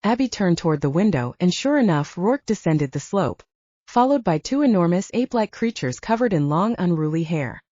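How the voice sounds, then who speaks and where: A woman's voice: fairly low pitch, animated, normal speed, mellow, dry recording, dull audio. A woman reading fiction aloud as a scripted audiobook narration.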